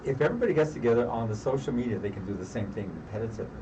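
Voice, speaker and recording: A man's voice: medium pitch, animated, very fast. Easygoing man, laughs and interjects; distant, muffled mic. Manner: laughing, chiming in. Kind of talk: casual political chat. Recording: distant, dull mic with faint noise.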